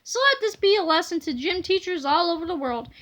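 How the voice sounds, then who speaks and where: A woman reading a children's storybook aloud in an animated, dramatic way. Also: very high voice, a little fast. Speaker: storybook reader. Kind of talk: expressive children's book read-aloud.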